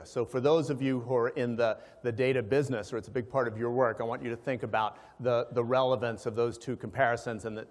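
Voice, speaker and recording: Male conference speaker, mid-pitched, explanatory and hesitant in places, addressing a live audience in a reverberant hall. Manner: explanatory, checks in with listeners. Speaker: conference speaker, organization president. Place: large hall, live event.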